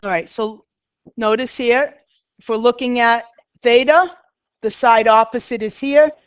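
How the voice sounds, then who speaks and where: A middle-aged math teacher with a Boston accent talks her class through a lesson, chatty and quick to poke fun at herself. Her voice is smooth and clear, and the sound is dry but a little dull and lo-fi. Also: on the high side, dry recording. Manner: chatty, self-deprecating, talks to students. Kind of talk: classroom lecture.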